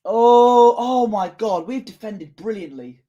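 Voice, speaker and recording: A young man co-commentating on a football match as a fan, excitable and quick to laugh, stretching out his exclamations. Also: sits high, dull audio. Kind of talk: casual watchalong co-commentary.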